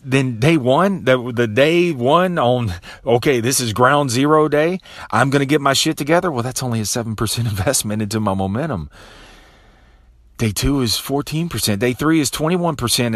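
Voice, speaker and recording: A man in his late thirties hosting a motivational podcast, talking casually and profanely and tossing in "man" and "bro", with animated bursts and low, quiet asides. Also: no room sound, clean studio sound. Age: 30s. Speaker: podcast host. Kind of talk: motivational monologue.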